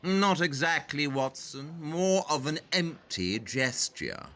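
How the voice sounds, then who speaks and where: An actor playing a brilliant, haughty detective in an audio play, speaking in a pompous, deliberate and condescending manner. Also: male voice, on the high side, big pitch swings, pushed and bright, full character voice. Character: brilliant, haughty, dismissive male master detective. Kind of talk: theatrical audio play acting.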